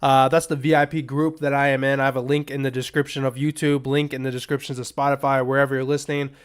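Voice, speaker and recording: A man hosting a sports betting podcast in a loose, rambling, casual way, his voice a little raspy and strained as he is under the weather. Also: medium pitch, flat delivery, fast. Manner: rambling, loose, filler-heavy asides. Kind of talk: casual unscripted betting picks talk.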